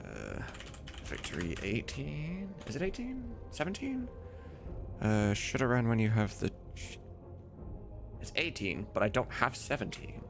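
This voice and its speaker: A man's voice: medium pitch, huge pitch swings, a little slow, vocal fry, over music. A man livestreaming as he works, chatting casually with viewers and muttering to himself. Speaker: livestreamer and game modder. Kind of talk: casual chat while working.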